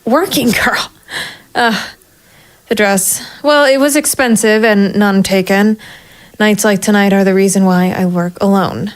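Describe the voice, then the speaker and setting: A woman's voice: medium pitch, fairly even intonation, unhurried, smooth, lightly acted. A woman playing a hardboiled, sardonic private investigator in a noir audio drama, with a wry, self-mocking manner. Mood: exasperated. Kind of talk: first-person noir audio drama narration and dialogue.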